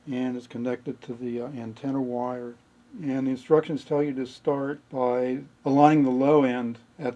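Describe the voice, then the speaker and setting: Mellow-voiced man demonstrating a repair. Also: medium pitch. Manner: matter-of-fact, thinking aloud. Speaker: presenter of a radio repair demonstration. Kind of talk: unscripted hands-on technical walkthrough.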